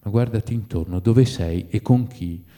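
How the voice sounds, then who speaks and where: A man's voice: lowish voice, moderate pace, mellow, natural voice. A man voicing a resigned, ashamed man withdrawn from the world, speaking in a subdued, tender, supplicating manner in a literary story.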